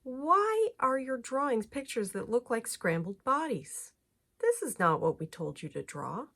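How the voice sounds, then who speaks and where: A woman's voice: fairly high pitch, big pitch swings, measured pace, a bit bright, pitched above the performer's own voice, heavily acted. A woman reading the part of puzzled onlookers in a children's storybook, with an emphatic, questioning manner. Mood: disapproving.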